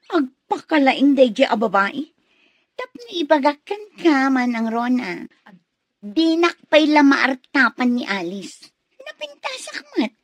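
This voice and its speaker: An actress playing a nosy, jealous village gossip in a radio drama, with an exaggerated, prying delivery. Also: female voice, high-pitched, sing-song, slow pace, no room sound, heavily acted. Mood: scheming, catty.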